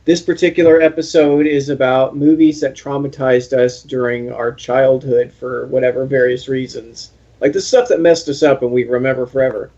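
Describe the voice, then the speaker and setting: A man hosting a casual online pop culture chat show, chatty and quick to laugh. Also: mid-range voice, fairly even intonation, dark tone, lo-fi sound. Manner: chatty and joking, laughs a lot. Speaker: podcast host.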